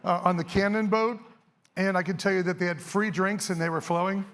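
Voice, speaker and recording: A middle-aged man, a little hoarse, giving a fast, energetic, casual talk to a live audience on an event stage. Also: sits high. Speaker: event speaker, sports photographer.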